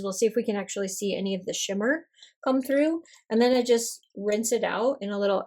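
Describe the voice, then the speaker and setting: A woman casually chatting through an ink swatching session at her desk, relaxed and self-deprecating, her voice a little hoarse from a cold. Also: medium pitch. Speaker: stationery vlogger.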